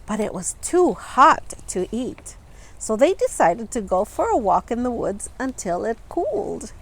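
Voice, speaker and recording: Slow, animated woman reading a storybook. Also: fairly high pitch, rough recording. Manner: expressive, deliberate, stressing and stretching words for young listeners. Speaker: storytime reader, teacher reading a picture book aloud. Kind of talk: children's storybook read-aloud with asides about the pictures.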